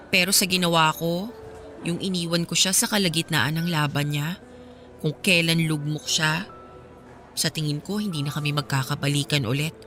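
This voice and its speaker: A woman's voice: lowish voice, a bit bright, close to an everyday voice. An actress playing a weary, resigned young woman in a radio drama, confiding in a subdued, reflective way. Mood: regretful. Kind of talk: Filipino radio drama dialogue.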